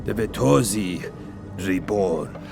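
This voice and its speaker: Man acting a slow, grave old royal advisor. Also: lowish voice, lively intonation, creaky, over music, full character voice. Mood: ominous. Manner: measured, ominous, deferential. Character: grave old male advisor to a villain king. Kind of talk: scripted audio drama acting.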